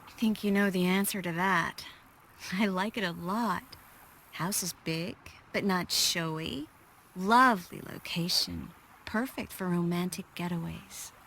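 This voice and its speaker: Soft, intimate, playful sorceress. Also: female voice, lowish voice, a little slow, a little hiss or hum. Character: affectionate, worldly sorceress, playful with her lover. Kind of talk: video game cutscene acting.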